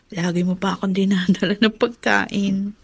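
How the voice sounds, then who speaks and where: A woman's voice: mid-range voice, steady tone, moderate pace, gravelly, husky. An actress playing a wistful young Filipino single mother in a radio drama.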